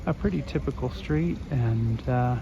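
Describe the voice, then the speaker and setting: A man with a hoarse voice, still recovering from an illness, strolls a residential street and gives a rambling walking-tour commentary full of ums. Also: mid-range voice. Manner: rambling, unhurried, many fillers. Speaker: vlogger. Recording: dull outdoor phone audio with traffic. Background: street traffic.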